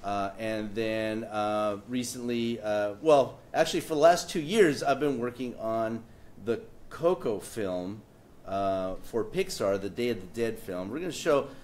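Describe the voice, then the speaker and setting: A man who is a political cartoonist, speaking on a panel, joking wryly and pausing often with fillers. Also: fairly low pitch, a little mellow. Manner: wry, joking, halting with many fillers. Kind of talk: casual panel talk presenting his work.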